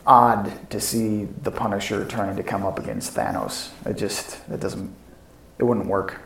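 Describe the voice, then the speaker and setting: Low, creaky-voiced man in echoey room. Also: very animated, a little slow, slight background noise. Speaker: podcast co-host. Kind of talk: casual pop-culture podcast chat. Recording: echoey, roomy home podcast audio.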